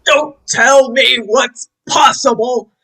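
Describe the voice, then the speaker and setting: A woman's voice: high-pitched, slow, pushed and bright, husky, exaggerated voice, rough recording. A woman playing a stuffy know-it-all professor in stubborn denial, lecturing emphatically in an amateur table read. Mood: agitated denial.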